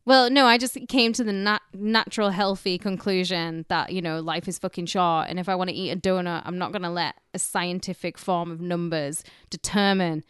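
A woman's voice: on the low side, fairly even intonation, normal speed, few pauses, fairly bright tone, smooth, no room sound, clean studio sound. A woman co-hosting a casual comedy podcast, talking bluntly and swearing freely. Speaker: podcast co-host.

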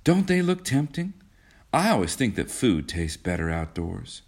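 A homely, modest young woman from a storybook, acted out by a man. The pitch is raised above a man's usual talk, and the manner is helpful and unassuming. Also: sing-song, normal speed, a little mellow. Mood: pleased.

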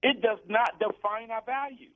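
A man's voice: very high voice, fairly even intonation, normal speed. A man from Cameroon, a guest on a radio show, talking earnestly and preachily about his faith and often repeating words as he starts a thought. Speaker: guest, business program founder. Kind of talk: radio interview talk. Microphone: phone. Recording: tinny phone line.

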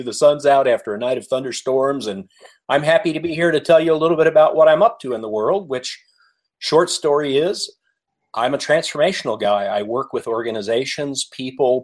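An American man speaking as a podcast guest in a conversational interview, measured and polite with pauses. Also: medium pitch. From United States. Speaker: podcast guest, author and coach.

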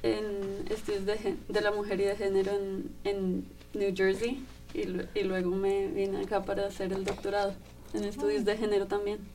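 A woman's voice: medium pitch, completely flat delivery, moderate pace, a little mellow, recorded from a distance, rough recording. A young woman from Colombia, a graduate student speaking a little hesitantly as a radio guest. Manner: hesitant, many fillers.

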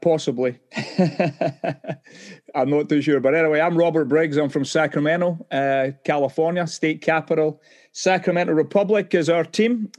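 A man's voice: fairly high pitch, darkish tone. A man from Scotland with a Scottish accent, a pastor and club chaplain, talking on a podcast with friendly, dry humor. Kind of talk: casual podcast chat.

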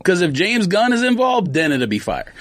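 A man with a slightly high voice chats casually on a podcast. His delivery is lively and emphatic, full of slang, and recorded very dry. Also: moderate pace, no room sound. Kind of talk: casual chat with slangy opinions.

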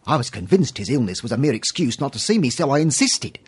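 An actor playing a weary, gentle husband in a radio drama, speaking earnestly and formally in a husky voice. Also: male voice, mid-range voice, big pitch swings, fast talker.

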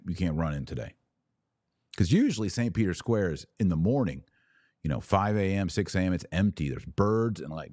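A man with a very low voice speaks close and dry, like a podcast host thinking aloud. He gives religious commentary in a casual, colloquial style, leaning on short, punchy phrases that he repeats. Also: a little mellow, no echo.

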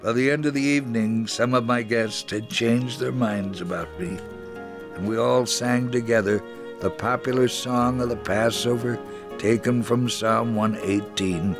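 A man reading a scripted first-person faith story in a measured, solemn manner, as a dramatic narration. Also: mid-range voice, fairly even intonation. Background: music.

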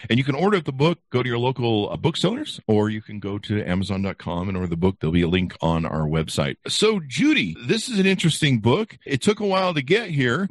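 A man's voice: mid-range voice, dry recording. A man hosting a podcast interview in an upbeat, breezy, slangy patter. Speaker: podcast host.

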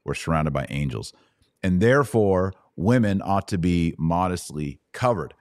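A man's voice: low voice, animated, normal speed, a little mellow, no room sound, clean studio sound. A man hosting a conversational Bible teaching podcast, explaining step by step and often checking that he is understood. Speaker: host and Bible teacher answering questions.

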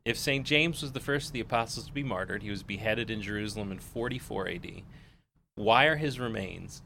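Man, podcast co-host, joking banter, gravelly, bright, faint background noise. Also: on the low side, brisk. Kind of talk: casual chat.

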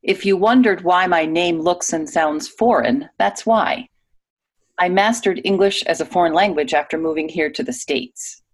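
A woman reading a guest's typed interview answers aloud in a clear, even manner. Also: fairly low pitch, normal speed.

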